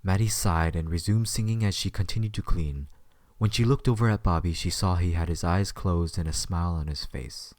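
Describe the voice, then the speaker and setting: Very deep-voiced male story narrator. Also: fairly quick, darkish tone, no room sound, clean studio sound.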